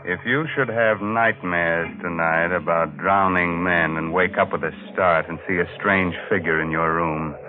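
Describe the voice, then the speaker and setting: A man's voice: sits low, fairly even intonation, measured pace, few pauses. A man playing a ghoulish, wisecracking host of horror tales in a mock-sinister, teasing 1940s radio style. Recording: muffled vintage radio broadcast, music behind.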